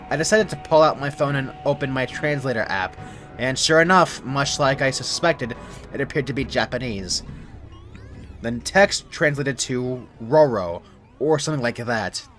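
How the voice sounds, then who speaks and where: Measured young man narrating. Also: medium pitch, expressive, a bit bright, faint music behind. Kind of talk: scripted creepypasta narration.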